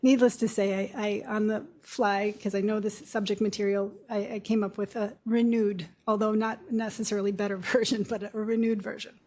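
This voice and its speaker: A woman in her fifties with a low voice, giving a conversational seminar talk as a nurse practitioner with storytelling asides. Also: little pitch movement, normal speed, few pauses, darkish tone. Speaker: guest speaker, nurse practitioner. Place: lecture room.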